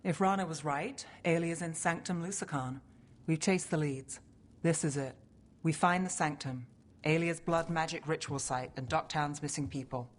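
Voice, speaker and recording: An actress playing a guarded, wry, driven detective with a dry, clipped delivery in a game scene. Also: female voice, sits low, normal speed, a little hiss or hum, close to an everyday voice. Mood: grave. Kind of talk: cinematic video game acting.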